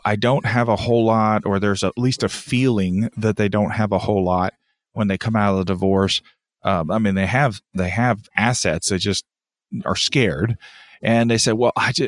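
Man, slightly deep, casual radio chat, interviewer, dry recording. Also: dry recording. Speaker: podcast and radio co-host.

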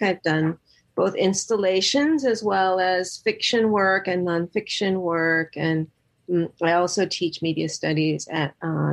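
A woman film director speaking in a podcast interview, talking thoughtfully with many pauses, fillers and restarts. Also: fairly low pitch. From Los Angeles.

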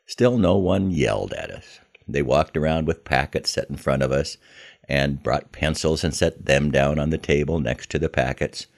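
An older American man telling a scripted first-person story in a measured, reflective manner. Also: a bass voice, gravelly. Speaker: podcast host telling his memoir.

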